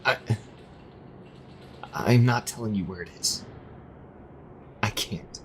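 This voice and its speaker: An actor playing an earnest, anxious young priest in an audio drama, halting and emotive. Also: male voice, fairly low pitch, a little hiss or hum. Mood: frightened, pleading. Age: young adult.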